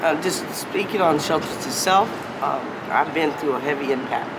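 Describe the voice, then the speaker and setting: A woman in her fifties answers questions outdoors on a city street, speaking in an earnest, halting way and filling gaps with ums and uhs. Loud traffic rumbles behind her the whole time. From San Francisco Bay Area. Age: 50s. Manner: halting, earnest, with many fillers. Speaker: interviewee on the street. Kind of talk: casual street interview.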